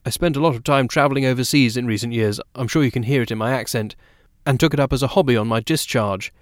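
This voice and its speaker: A guarded, deferential man, acted by an audiobook narrator. He speaks carefully and hesitantly at a medium pitch, with grateful politeness. The sound is dry and close. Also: quick pace, dry recording, close to an everyday voice. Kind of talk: audiobook character dialogue.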